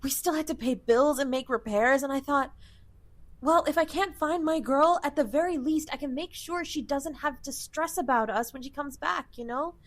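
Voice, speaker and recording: A woman's voice: sits high, moderate pace, lightly acted. A woman playing an affectionate, protective young best friend with sassy endearments in an audio drama. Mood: tender, earnest. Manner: tender, soothing, sassy endearments.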